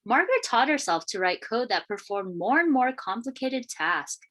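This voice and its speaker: A woman reading a children's picture book aloud in an expressive, deliberate storytelling manner. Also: on the high side. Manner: expressive, questioning lifts and deliberate phrasing. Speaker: read-aloud storyteller, teacher.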